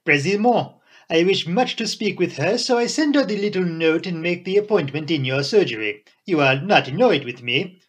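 A man's voice: fairly high pitch, animated, moderate pace. A man voicing a fussy, genial foreign detective with florid, formal phrasing in an audiobook. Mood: genial, sly. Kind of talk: audiobook character voice.

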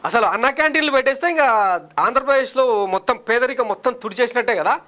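A man's voice: very high voice, very fast, pushed and bright. A man in his thirties presenting political news commentary in a studio on a lapel mic, sarcastic and combative, firing off rhetorical questions. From Andhra Pradesh, India. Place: studio in front of a large screen.

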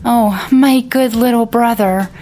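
A woman's voice: medium pitch, a little slow, darkish tone, faint music behind, natural voice. A woman doing a vain, whiny young woman. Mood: pleading. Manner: whining, pleading.

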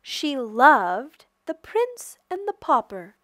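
A woman reading a children's picture book aloud with careful, expressive storytelling delivery. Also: high-pitched, slow pace, no room sound, clean studio sound. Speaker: storybook reader.

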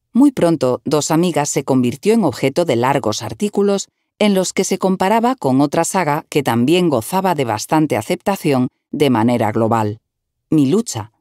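A woman narrating a written article in an even, measured reading style, recorded close to the mic. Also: sits low, dry recording.

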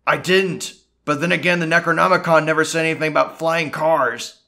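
A man voicing a gruff, irritable scientist grandfather in a fan-made audio drama, with a creaky voice. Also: fairly high pitch, steady tone, fairly quick. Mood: gruff, weary. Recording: voice over loud music.

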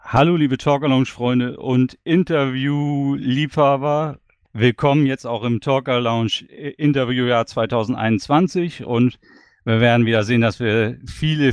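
A man's voice: mid-range voice, clear, resonant tone, no echo. A man hosting a podcast interview, moderating in a friendly, conversational way.

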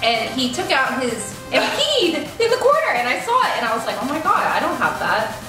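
An American woman in her twenties chats casually as a vlog host. Her voice is fairly high and animated. It sounds distant in a strongly echoing room, with music behind. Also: brisk. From United States. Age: 20s. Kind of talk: casual Q&A chat while eating. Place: indoor room at a table. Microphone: camera a few feet away.

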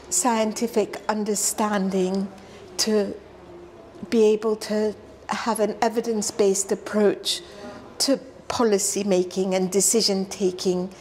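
A middle-aged woman who grew up in London, explaining environmental work in a relaxed sit-down interview, earnest and measured. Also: medium pitch, slight background noise. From South Africa, raised in London. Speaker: environmental scientist, interview guest. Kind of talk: conversational interview. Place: hotel lounge, seated on a sofa indoors.

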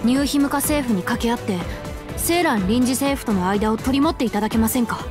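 Poised, fairly high young woman, voiced by an actress. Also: music in the background, close to an everyday voice. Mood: composed, serious. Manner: formal, composed, deliberate. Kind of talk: Japanese video game voice acting.

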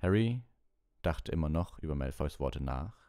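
Low voiced guy reading an audiobook story, dry sound no room. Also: expressive, moderate pace, mellow, clean tone, dry recording. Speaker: audiobook reader and streamer. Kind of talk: storybook narration.

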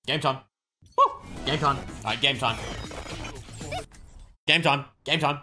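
A young adult man chatting excitedly on a gaming livestream, tossing out short repeated catchphrases. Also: medium pitch, takes it slowly, music in the background. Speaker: game streamer.

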